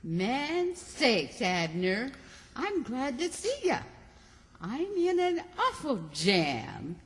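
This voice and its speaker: High-voiced brazen country spinster played by a woman. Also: big pitch swings, very slow, exaggerated voice. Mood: surprised. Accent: rural Southern American. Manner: folksy, exclaiming, emphatic. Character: folksy, man-hungry country spinster, cheerfully brazen. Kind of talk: old-time radio comedy acting. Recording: stage performance in reverberant hall.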